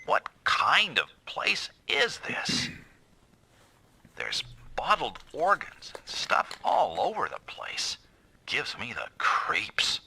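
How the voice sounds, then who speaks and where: Video game squad commander, authoritative and protective, animated mid-pitched voice, clipped orders, noisy track. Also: male voice, takes it slowly, creaky, exaggerated voice. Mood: uneasy. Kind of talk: anime-style English video game voice acting.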